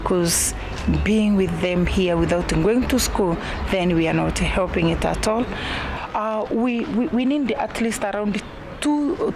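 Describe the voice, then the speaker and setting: Man, hesitant, interview, noisy outdoor background. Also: high voice. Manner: hesitant, repeats words. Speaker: school staff member.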